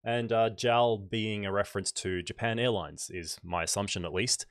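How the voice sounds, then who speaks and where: A man with a fairly low voice, a little nasal and stuffed up from a cold. He chats in an unhurried, explanatory way as a livestream host. The sound is dry and close, from a microphone in a small home room. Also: steady tone, dry recording. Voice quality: slightly nasal, congested. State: congested from a cold, scratchy throat. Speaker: photography channel host. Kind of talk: casual livestream book review. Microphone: large microphone close on a boom arm.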